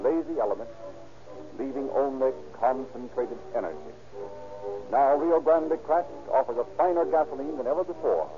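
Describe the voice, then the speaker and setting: A man announcing and narrating a 1930s radio program in a formal, emphatic scripted delivery on a tinny old recording. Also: medium pitch.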